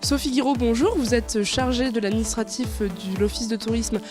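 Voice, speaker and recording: A woman's voice: a little high, fairly even intonation, moderate pace, over music. A woman interviewing locals as a courteous regional TV reporter, asking questions and recapping answers.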